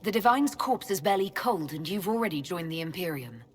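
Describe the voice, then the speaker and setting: An actress playing an earnest, level-headed young female elven mage, calm and direct, in a video game. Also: fairly low pitch, a little fast, in a large hall, faint music behind, natural voice. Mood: questioning, incredulous.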